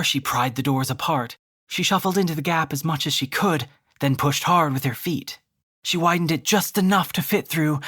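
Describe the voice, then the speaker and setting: A man narrating a scripted audio drama in a dramatic, deliberate storytelling manner. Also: fairly high pitch, fairly bright tone, slightly rough.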